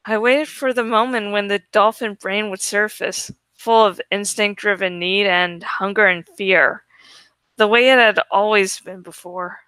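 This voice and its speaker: A woman's voice: mid-range voice, fairly even intonation, a little slow, pushed and bright, clear, resonant tone, no room sound. A woman reading a first-person story aloud expressively, drawing out words for dramatic emphasis. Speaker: amateur audiobook reader.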